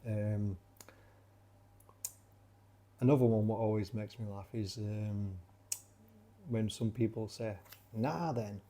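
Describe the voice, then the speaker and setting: A man with a broad South Yorkshire accent chats in a loose, rambling way, stopping and restarting his sentences. His voice sits on the low side with a mellow, dark tone. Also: slow, pauses often. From Sheffield, South Yorkshire. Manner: rambling with many fillers and restarts. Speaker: channel creator. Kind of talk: casual rambling chat.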